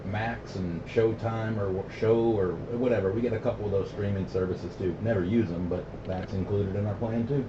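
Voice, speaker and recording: A man's voice: lowish voice, fairly even intonation, moderate pace, few pauses. A middle-aged American man chatting casually on CB radio, plain-spoken and opinionated, using radio slang. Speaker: CB radio operator hosting a radio night. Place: CB base radio station. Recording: muffled, noisy, roomy CB radio audio.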